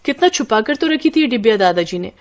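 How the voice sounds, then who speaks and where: A woman's voice: a little high, lively intonation, quick pace, close to an everyday voice. A woman voicing a clever, confident young elder sister from a village in a scripted story. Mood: knowing.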